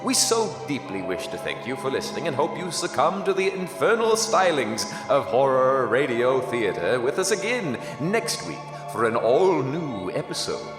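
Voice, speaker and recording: Male actor as ominous horror radio announcer, grandiose, extremely animated, medium pitch, reverberant hall, background music. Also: normal speed, hardly any pauses, natural voice. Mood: ominous formality. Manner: grandiose, theatrical. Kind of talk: scripted radio credits in horror-host style.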